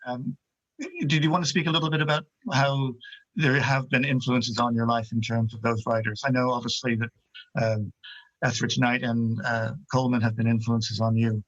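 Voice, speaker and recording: A man's voice: medium pitch, little pitch movement, normal speed. A male host putting long, circling questions to poets at a reading event. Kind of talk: moderating a poetry event. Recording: muffled lo-fi call audio.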